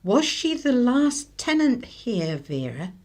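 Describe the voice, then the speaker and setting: A woman's voice: a little high, sing-song, slow, darkish tone, heavily acted. An actress playing a harried, sardonic English mother with a dry, scolding manner in a radio drama. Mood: concerned, grave. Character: harried, sardonic working-class mother of a young boy.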